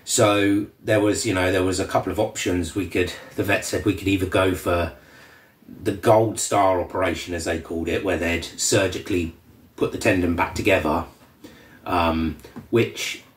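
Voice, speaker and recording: A man with a cold and a slightly croaky voice, hosting a casual vlog update in a relaxed, rambling way with many ums. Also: deep voice, normal speed. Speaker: channel host. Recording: roomy echo from a distant mic.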